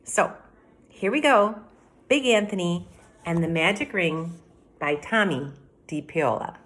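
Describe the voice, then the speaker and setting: Middle-aged female teacher, lowish voice, highly varied melody, read-aloud lesson for kids. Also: takes it slowly, a little hiss or hum. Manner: animated, explanatory, addressing children directly. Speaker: elementary teacher. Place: indoor room at home by a window.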